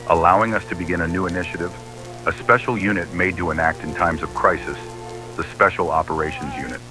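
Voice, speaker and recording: Very deep male official announcer. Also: poor audio. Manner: formal, official-sounding. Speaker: narrator of an analog horror tape. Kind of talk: scripted recruitment announcement.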